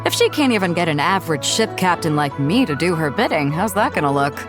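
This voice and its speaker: A woman's voice: on the low side, big pitch swings, brisk. A woman voicing a bold, jovial, plain-spoken ship captain in a video game, casual and breezy. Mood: amused, breezy. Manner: casual, breezy, self-deprecating. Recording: game music clearly behind.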